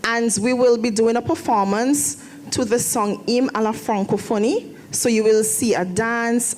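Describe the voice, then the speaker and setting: A woman's voice: fairly high pitch, a little mellow, slight background noise. A woman teacher giving a short, friendly introduction to a student performance at an event in a church hall. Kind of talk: brief spoken introduction.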